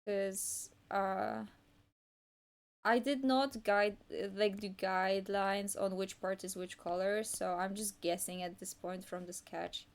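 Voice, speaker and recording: Slow-talking young woman, Polish native speaker. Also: medium pitch, fairly even intonation. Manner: meandering, hesitant, frequent restarts and fillers. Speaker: art streamer. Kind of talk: casual livestream chat while drawing.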